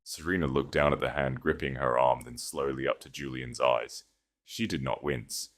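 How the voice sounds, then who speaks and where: Kalın sesli erkek hikâye anlatıcısı. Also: male voice, a bass voice, steady tone, moderate pace, a bit bright. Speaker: audiobook narrator. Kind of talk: dramatic scripted fiction narration.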